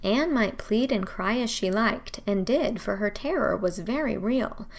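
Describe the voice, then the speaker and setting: A woman narrating a scripted storybook as its host, with a measured, expressive delivery. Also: mid-range voice, gravelly.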